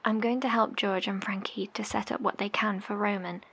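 An actress in an audio horror drama playing a worried, caring survivor broadcaster, hesitant, gentle and breathy. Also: female voice, mid-range voice, steady tone, normal speed. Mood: sad, caring.

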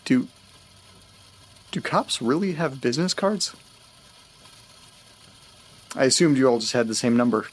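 An actor playing an anxious, desperate man in an audio drama, speaking haltingly and repeating words. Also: mid-range voice, animated, breathy edge. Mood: awkward gratitude. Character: anxious, desperate man searching for his dog.

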